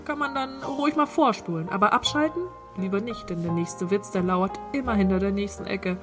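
A woman's voice: mid-range voice, fairly quick, over music. A woman in her late twenties co-hosting a podcast with casual, playful banter and teasing wordplay. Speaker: podcast co-host.

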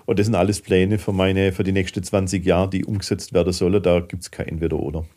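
A man's voice: low voice, level tone, fast, mellow. A middle-aged man from southwestern Germany talking candidly and colloquially as a guest in a casual podcast interview. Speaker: guest, filmmaker and managing director.

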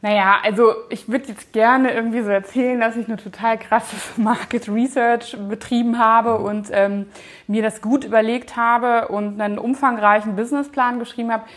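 A woman's voice: a little high, steady tone, normal speed. A woman, giving casual, informal interview answers, often hedging and thinking aloud as she looks back. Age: 30s. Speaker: company founder and managing director, interview guest.

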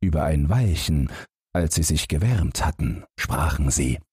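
A man narrating a fairy tale as an audiobook, measured and dramatic in his expressive storytelling. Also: extremely low pitch, husky, no echo, clean studio sound.

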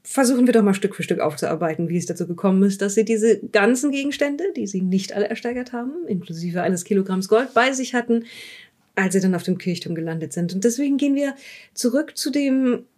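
A woman acts out a composed female official in an improvised radio play. She probes in a measured, methodical way, with a voice of medium pitch, checking details against her notes. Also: animated, a little mellow, close to an everyday voice. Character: composed, probing female official questioning a witness from case notes. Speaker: game partner in an audio-drama let's play.

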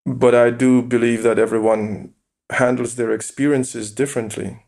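A man as a podcast guest, calmly explaining his view with examples and rhetorical questions. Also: medium pitch, normal speed, creaky. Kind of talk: interview conversation.

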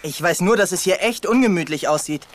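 A woman's voice: lowish voice, fairly quick, natural voice. A woman playing a cheeky, plucky boy toy figure with a breezy, confident manner in a children's radio play.

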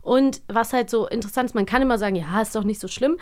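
A woman's voice: a little high, fast. A woman co-hosting a podcast, talking in a casual, opinionated way, with mocking asides. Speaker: podcast co-host. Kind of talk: casual conversation.

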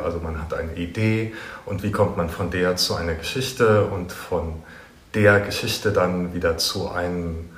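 A man hosting a casual interview, informal and tentative as he searches for his words. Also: low voice. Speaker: interviewer and host. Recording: roomy echo, audible background noise.

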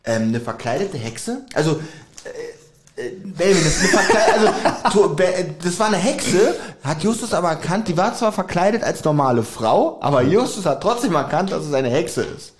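A man's voice: fairly high pitch, big pitch swings, moderate pace, reverberant, background noise. A man co-hosting a casual chat, with a teasing and wry manner. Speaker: podcast co-host.

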